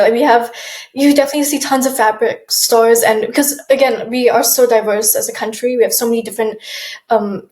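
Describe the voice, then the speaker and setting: A young woman from Malaysia talks casually as an interview guest, her voice a little high. She restarts her sentences often and laughs softly between them. The sound is roomy, with an echo around her. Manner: chatty, with frequent restarts and soft laughs. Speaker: guest, aspiring model.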